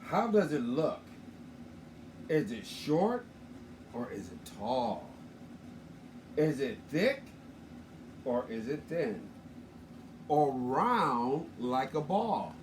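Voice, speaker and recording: Man reading a kids' book, slow and animated, echoey room, faint background noise. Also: medium pitch, far from the mic. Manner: lively sing-song reading for children. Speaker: co-reader. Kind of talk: reading a picture book aloud.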